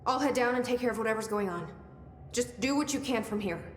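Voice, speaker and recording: A woman's voice: on the high side, animated, fast talker, a little hiss or hum, lightly acted. Determined woman director in echoey hall, acted. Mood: resolute. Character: determined, self-blaming young female bureau director. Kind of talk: video game cutscene acting. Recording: echoey hall, music behind.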